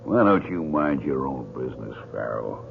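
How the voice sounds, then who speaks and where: A narrow old radio recording with light hiss carries a big rural American lumberjack bully. His low voice is folksy and threatening, full of jealous menace. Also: lively intonation, normal speed, creaky, exaggerated voice. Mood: menacing. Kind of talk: radio drama acting.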